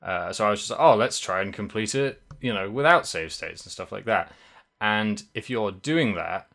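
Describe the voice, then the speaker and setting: A man's voice: on the low side, lively intonation, moderate pace, gravelly, fairly bright tone. A young man co-hosting a podcast, chatting casually and rambling, correcting himself and swearing now and then. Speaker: podcast co-host. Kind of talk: casual podcast chat about a video game.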